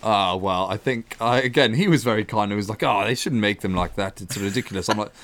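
A man's voice: brisk, no echo. A young man telling a story in casual podcast chat, acting out the other people's lines as he goes. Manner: animated, acts out quoted voices with rising pitch. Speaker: podcast co-host.

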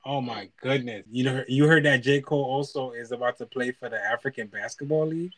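A man co-hosting a casual chat show, telling lively anecdotes and acting out the other people's lines. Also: medium pitch, a little fast, no echo, low-quality recording. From Haiti (parents), raised in the US. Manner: animated storyteller, acts out voices in anecdotes. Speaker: podcast co-host.

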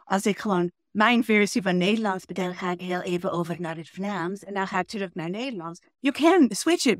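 A woman's voice: mid-range voice, dry recording, studio quality. A woman, an English pronunciation coach telling stories with enthusiasm in a podcast interview. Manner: enthusiastic, animated storyteller.

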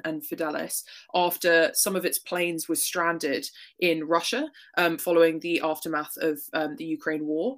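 A woman guest on a podcast, explaining a news story in a relaxed way with frequent ums. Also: on the low side. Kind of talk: casual explanatory chat.

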